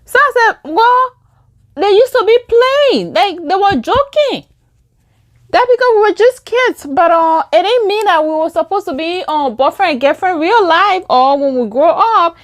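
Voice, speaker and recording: A young woman with a Liberian English accent telling a story while doing her makeup, acting out quoted lines in a higher voice. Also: expressive, unhurried. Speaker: beauty vlogger.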